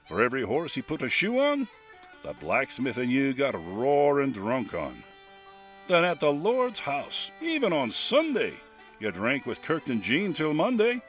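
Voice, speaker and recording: An older man with a rich, admired voice, reciting a poem as a guest reader in an expressive, lilting way. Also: medium pitch, measured pace. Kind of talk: dramatic poetry reading. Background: faint bagpipe and whistle music.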